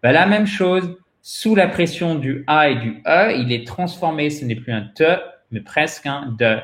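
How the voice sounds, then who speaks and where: A man's voice: a little high, animated, a little slow, fairly bright tone, reverberant. A man giving an interactive pronunciation lesson, explaining didactically and addressing his learners directly, a native French speaker. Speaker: English pronunciation teacher, host.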